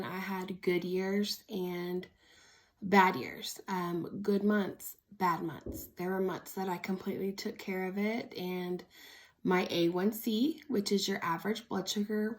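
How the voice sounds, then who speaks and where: A woman's voice: medium pitch, a little slow. Female vlogger, early thirties, American. Mid-range voice, chatty and candid, speaking informally as if to followers. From Oklahoma, United States. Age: early 30s. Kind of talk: casual personal storytelling to camera.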